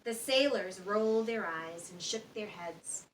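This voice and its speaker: A woman's voice: mid-range voice, unhurried, roomy sound. A woman reading a children's story aloud expressively, with sound effects and questions to her listeners. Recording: distant microphone with faint background noise.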